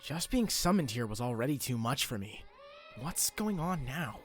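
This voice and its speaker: A man's voice: medium pitch, sing-song, moderate pace, a bit bright, faint music behind. A man playing a bewildered, self-deprecating teenage boy hero in an anime-style fandub, talking informally to himself. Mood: confused. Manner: informal, muttering to himself, exclamatory.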